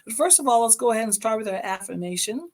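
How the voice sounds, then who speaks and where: A middle-aged woman with a hoarse voice hosting her own motivational podcast, speaking encouragingly and directly to her listeners. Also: on the high side, expressive, fast talker. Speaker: podcast host.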